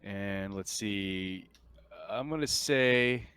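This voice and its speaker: A man running a tabletop roleplaying game as game master, with a crisp, clear voice. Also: on the low side, very slow, fairly bright tone, no echo.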